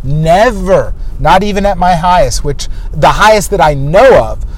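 A man's voice: high voice, expressive, unhurried, low-quality recording. A middle-aged man hosting a podcast, talking candidly and self-mockingly about his own life in a personal monologue. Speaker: podcast host.

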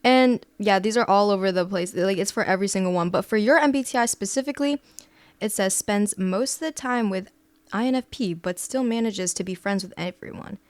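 A woman's voice: mid-range voice, fairly quick, no echo. Young woman, podcast host, playful, teasing, boastful, dry sound. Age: young adult. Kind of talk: casual podcast chat.

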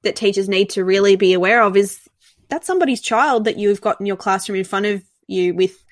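A woman's voice: mid-range voice, a little fast, no echo, studio quality. A woman hosting a casual interview, listening closely and affirming her guest. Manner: attentive, affirming, frequent short backchannels. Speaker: interview host.

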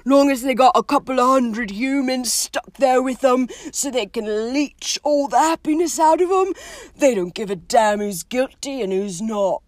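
A woman voicing a big, rough-spoken, soft-hearted and despairing gamekeeper man in an audiobook, with drawn-out, emotional speech. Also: high-pitched, no room sound, pitched above the performer's own voice. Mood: anguished, bitter. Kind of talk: audiobook character voice.

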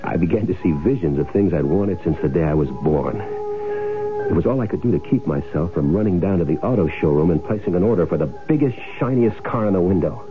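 A man's voice: low voice, wildly swooping pitch, brisk. An actor playing a crooked, greedy, impatient man in an old-time radio drama, bossy and slangy. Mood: scheming, eager. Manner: hard-boiled, bossy, slangy. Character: crooked, greedy, impatient man hiding out after faking his death. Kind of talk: old-time radio drama acting with first-person narration. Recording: muffled, narrow-band old radio broadcast with music.

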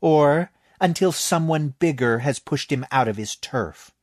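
A reckless young woman, as a man puts her on with a raised voice, eager and breezy, brushing off every warning. Her voice swings lively up and down. It is a dry audiobook read. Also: a little high, measured pace, no room sound, voice pushed up.

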